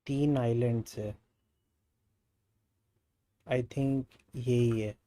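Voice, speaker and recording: A man's voice: mid-range voice, steady tone, a little fast, noisy background. A man with an Indian accent, a native Hindi speaker, doing a live game stream with casual delivery. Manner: stumbles over words when reading aloud. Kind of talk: live gameplay stream, reading game dialogue aloud with casual asides.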